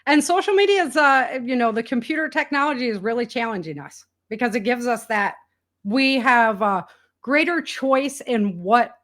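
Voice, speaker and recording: High-pitched American woman, animated storyteller. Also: normal speed. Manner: animated storytelling, quoting imagined dialogue. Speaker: guest, author. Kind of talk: casual conversational interview.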